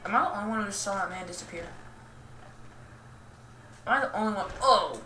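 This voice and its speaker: A young woman giving casual, rambling game commentary in a self-deprecating, goofy and halting way. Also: medium pitch, bright, forceful tone. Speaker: gaming video host. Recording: roomy, distant mic with clear noise.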